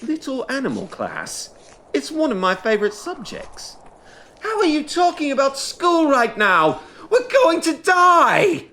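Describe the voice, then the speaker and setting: A man's voice: very high-pitched, wildly swooping pitch, unhurried, bright, forceful tone, heavily acted. A man playing an earnest, bookish and anxious schoolboy wizard who talks defensively in an audio drama. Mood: indignant.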